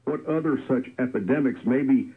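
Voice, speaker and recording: A man's voice: medium pitch, fairly quick, slightly rough. A man in his sixties hosting a call-in radio show in a friendly, conversational way. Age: 60s. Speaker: radio host. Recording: lo-fi radio broadcast with faint music.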